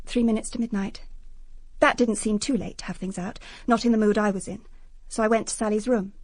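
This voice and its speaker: A woman's voice: medium pitch, fairly even intonation, brisk, no room sound, lightly acted. An actress with a British accent playing a wounded, self-justifying young woman confessing in a radio drama. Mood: hurt, confessional. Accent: British English. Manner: earnest, confessional.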